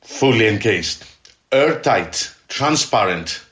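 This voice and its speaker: A man's voice: on the low side, lively intonation, takes it slowly, fairly bright tone. A man playing an earnest, enthusiastic scientist in an audio drama, speaking emphatically. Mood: proud, excited. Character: earnest, awestruck male scientist and excavator. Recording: roomy, echoing sound.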